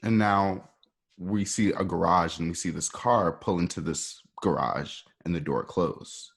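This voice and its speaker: A man narrating a radio play, reading out scene directions in a conversational way with a husky voice. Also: low voice, a little slow.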